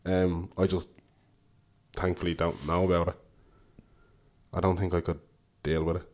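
Male podcast host, low voice, nasal with a head cold, chatty and laughing. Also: little pitch movement, moderate pace, a little mellow. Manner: chatty, laughs often, rambling restarts. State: stuffy nose from a cold. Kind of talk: casual chat.

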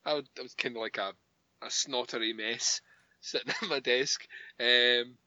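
A man's voice: mid-range voice, fairly even intonation, moderate pace, pushed and bright, no echo, muffled recording. A young man co-hosting a podcast in casual chat, teasing and laughing often. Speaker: podcast co-host.

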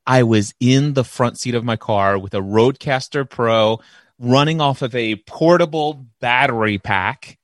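Podcast guest, male, loud powerful voice, eager explainer, dry close-miked sound. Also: mid-range voice, measured pace, no room sound. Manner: enthusiastic, explains gear in detail. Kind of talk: casual podcast conversation. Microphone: close dynamic microphone.

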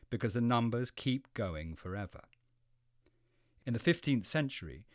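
A man reading scripted educational narration as a programme narrator, explaining things in clearly phrased sentences. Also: mid-range voice, no room sound, studio quality.

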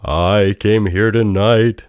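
A man voicing the chained ghost of a dead partner, speaking in a slow, drawn-out, booming way. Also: fairly even intonation, a little mellow, clean tone, no room sound, muffled recording. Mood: warning.

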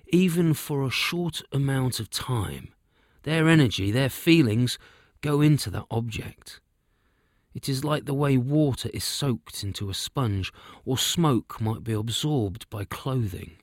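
A man doing a calm wise old seer. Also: medium pitch, a little slow, dry recording, studio quality. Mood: grave. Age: older.

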